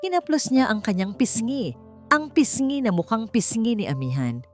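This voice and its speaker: A woman's voice: on the high side, big pitch swings, moderate pace, darkish tone. A woman reads a scripted dramatic story as its narrator. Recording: music behind the voice. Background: background music.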